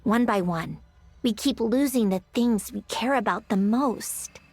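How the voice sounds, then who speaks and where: A woman's voice: fairly high pitch, unhurried, a little mellow. A woman playing an aloof, prickly young woman with a melancholy streak and a haughty, sighing manner in a video game. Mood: grieving, reflective. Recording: music under the voice. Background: background music.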